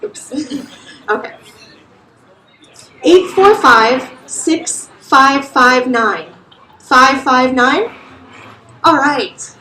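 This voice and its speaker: A woman's voice: high voice, expressive, drawn-out words, long pauses, sharp tone, slight background noise. Upbeat woman hosting a live reading. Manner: upbeat, chatty, laughing easily. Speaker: host introducing readers. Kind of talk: live event hosting and raffle. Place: bar with a live audience. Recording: roomy live bar sound.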